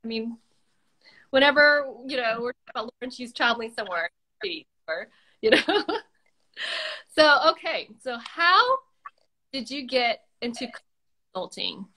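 A woman hosting a casual livestream interview over a video call, asking questions with many pauses and fillers. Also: on the high side, very animated, pushed and bright, low-quality recording. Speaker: host. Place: home room, indoors.

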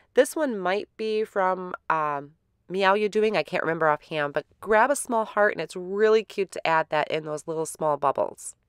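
A woman hosting a card-making tutorial in a relaxed, step-by-step voice-over, a little stuffy from a head cold. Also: lowish voice, clean tone, dry recording, studio quality. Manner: relaxed, step-by-step explaining with fillers.

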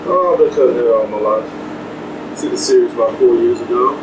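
Relaxed Texan barber, distant and noisy. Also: male voice, mid-range voice, normal speed. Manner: relaxed, brief, polite answers. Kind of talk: casual interview answers. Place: small trailer interior, windy outdoor event. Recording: distant roomy sound, loud fan and wind noise.

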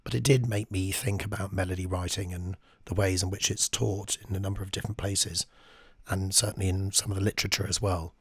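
A man with a lost, hoarse voice from a chest infection, speaking very close to the microphone as he teaches music, thoughtful and full of ums. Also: low voice, level tone, brisk, dry recording. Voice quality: breathy, half-whispered. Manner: thoughtful, with frequent ums while reading quotes. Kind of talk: screen-share music lesson.

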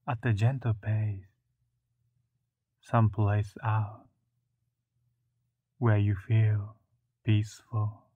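Male meditation guide: very slow, even-toned, soothing delivery in short phrases with long pauses, through a thin phone-like recording. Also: lowish voice, slightly rough, no echo. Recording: muffled, narrow phone-like sound.

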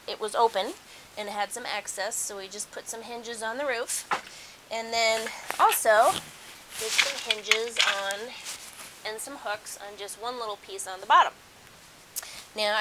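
A woman's voice: mid-range voice, noisy background. A middle-aged woman giving a casual, conversational how-to demonstration at home, explaining things as she goes. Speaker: DIY host. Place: carpeted room at home.

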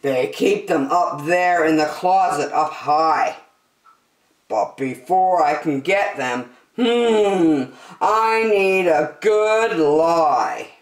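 A woman voicing a greedy, sneaky, lying male pug from a storybook, with emphatic, drawn-out scheming delivery. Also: low voice, lively intonation, heavily acted. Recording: roomy echo.

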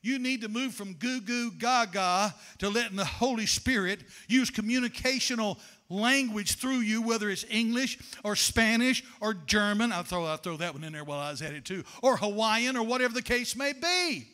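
An older man preaches from the pulpit, his voice very high and piercingly bright. He speaks fervently into a handheld microphone in a church sanctuary, warmly calling his listeners "honey" and building to shouted, rhythmic declarations. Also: animated, moderate pace. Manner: addresses congregation as honey. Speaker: pastor. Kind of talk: sermon.

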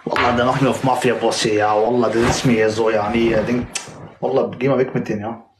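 A young man in his twenties spars on a livestream in quick street slang. He leans on "Wallah" constantly and rattles through his lines at speed. His phone picks up a roomy, echoing sound. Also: mid-range voice, little pitch movement, noisy background. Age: 20s. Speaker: livestream guest. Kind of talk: casual livestream banter.

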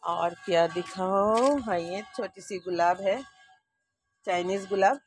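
A woman's voice: mid-range voice, slow, husky, faint music behind. A woman in her sixties gives a homely, chatty tour of her rooftop garden, talking straight to her viewers and filming on a handheld phone outdoors. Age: 60s. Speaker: vlogger. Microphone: handheld phone camera.

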